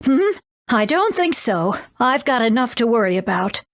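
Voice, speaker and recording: A woman's voice: fairly high pitch, big pitch swings, normal speed, no room sound, clean studio sound. A woman voicing a brusque but doting mother condor in a video game. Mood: brusque, worried. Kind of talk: English game dub.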